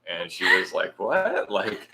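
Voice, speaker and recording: A man from Oklahoma, co-hosting a casual chat, with a deep voice and a mumbling way of talking. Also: huge pitch swings, measured pace, very noisy. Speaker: co-host.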